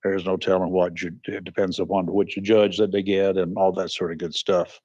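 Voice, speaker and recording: A man's voice: sits low, level tone, moderate pace, a little mellow, husky, no echo, dull audio. A man hosting a local radio talk show. He rambles folksily with frequent restarts and sounds congested. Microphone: studio microphone.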